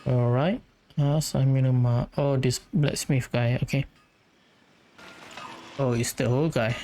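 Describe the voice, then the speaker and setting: A man giving casual, low-key commentary while playing a video game, murmuring short reactions. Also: fairly even intonation, unhurried, warm tone, a little hiss or hum. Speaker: gaming streamer. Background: game music.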